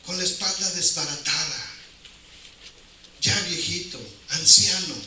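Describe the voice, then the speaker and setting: A man's voice: sits high, a little slow, bright, forceful tone. Male preacher, Mexican accent, colloquial and emphatic with repetition; distant, heavily reverberant sound. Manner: colloquial, rhetorical questions, repeats phrases for emphasis. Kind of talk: informal evangelical sermon. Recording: very echoey hall, distant, noisy.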